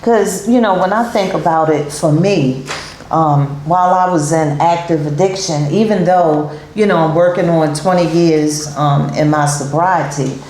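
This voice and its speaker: A woman's voice: low-pitched, a little slow, a little mellow, roomy sound, slight background noise, low-quality recording. A middle-aged woman hosting a talk show, chatting casually with guests, with many fillers and repeated yeahs. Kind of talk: casual interview chat.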